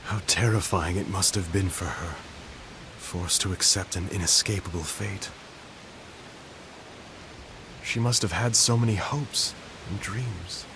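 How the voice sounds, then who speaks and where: A gentle, melancholic young composer who is ill, musing softly in a low, unhurried voice. The recording carries a steady hiss underneath. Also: male voice, creaky. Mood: grieving. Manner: soft, reflective, searching delivery. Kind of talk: video game cutscene dialogue. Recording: hissy game audio capture.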